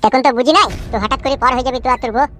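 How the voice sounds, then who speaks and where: A man's voice: very high-pitched, fairly even intonation, rapid-fire, heavy background noise. A man voicing a spiteful, bullying young village landlord in a cartoon comedy, coarse and demanding.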